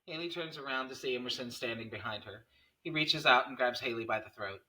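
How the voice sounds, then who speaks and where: A man's voice: mid-range voice, a little fast, sharp tone, poor audio. A man reading stage directions as narrator at a screenplay table read, in scripted narration.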